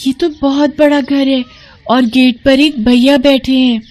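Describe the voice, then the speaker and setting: A woman voicing an innocent, wide-eyed little girl in a storybook cartoon, with a childlike, excitable manner. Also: sits high, measured pace, pitched above the performer's own voice. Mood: wide-eyed wonder.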